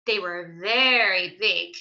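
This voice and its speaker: A woman teacher reading a children's story aloud in an animated way, with chatty asides and questions for young listeners. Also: mid-range voice, slow, lo-fi sound.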